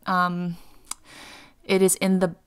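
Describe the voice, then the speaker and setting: A woman from Hawaii giving a channeled spiritual talk as a voice-over, halting often with fillers and restarts. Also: lowish voice, completely flat delivery, clean tone.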